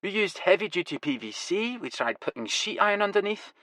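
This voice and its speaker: A wild-eyed Glasgow grower with a raspy, high-pitched voice, as a male narrator puts him on. He talks in an eager, proud rush that swings up and down. The storybook reading sounds dry and close. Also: very animated, a little fast, sharp tone, no room sound, full character voice. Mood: grim. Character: wiry older Glasgow man, excitable cannabis grower.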